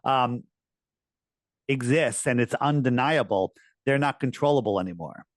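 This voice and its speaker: A man's voice: unhurried, dry recording, studio quality. Earnest man losing his voice. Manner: earnest, emphatic, rising pitch on key points. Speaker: podcast guest. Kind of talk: conversational interview talk.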